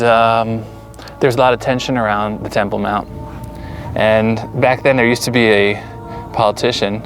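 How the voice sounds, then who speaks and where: Low gravelly American man. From United States. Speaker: guest. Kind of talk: personal storytelling in an interview.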